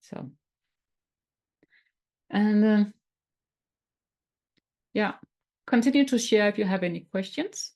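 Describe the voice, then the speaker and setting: A woman from Denmark with a Danish accent, teaching tapping in a webinar in a gentle, explanatory way with some non-native phrasing. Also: medium pitch, slow pace, pauses often. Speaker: tapping coach.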